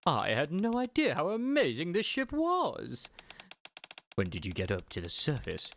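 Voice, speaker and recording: A man voicing awestruck, excitable party guests who gush and exclaim in a game fandub. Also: a little high, a little slow, creaky, a little hiss or hum, pitched above the performer's own voice, full character voice. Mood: thrilled. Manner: gushing, exclaiming.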